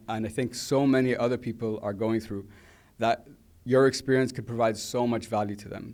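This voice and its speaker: A man's voice: mid-range voice, a little mellow. A young man hosting an interview, who asks long, earnest questions as an early-stage entrepreneur. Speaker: interviewer host.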